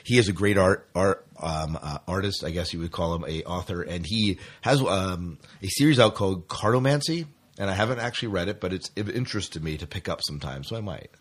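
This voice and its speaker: Low-voiced man chatting about fandom. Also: a little fast, husky. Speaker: podcast host. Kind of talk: casual sci-fi fan chat.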